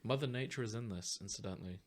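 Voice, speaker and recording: A man with a fairly low voice chats as a podcast host. His delivery is casual and enthusiastic, full of joking banter with his co-hosts. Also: quick pace, crowd noise.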